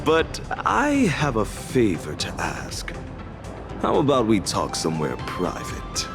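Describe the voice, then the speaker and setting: A man's voice: on the low side, wildly swooping pitch, slow, vocal fry, exaggerated voice. A man voicing a sly male show producer in a video game, talking in a teasing, theatrical way and chuckling between lines. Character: sly showman producer, mocking and persuasive. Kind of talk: video game cutscene voice acting. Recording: music playing underneath.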